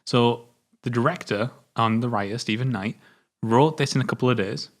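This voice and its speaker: British guy, mid pitch, chill, chatting film trivia with his mate and laughing a lot. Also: normal speed. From Britain. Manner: relaxed, shares trivia, laughs often. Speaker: co-host of a film review show. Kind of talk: casual film chat.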